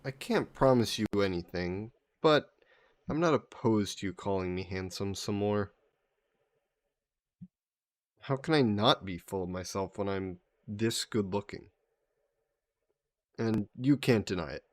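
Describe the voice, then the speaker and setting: A man voicing a vain sea monster who poses as a mannered gentleman in a roleplay audio drama, speaking in suave, formal phrases. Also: fairly low pitch, unhurried, no echo, clean studio sound, close to an everyday voice. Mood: playful, flirty. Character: flirty, vain sea monster posing as a gentleman traveler, turning menacing.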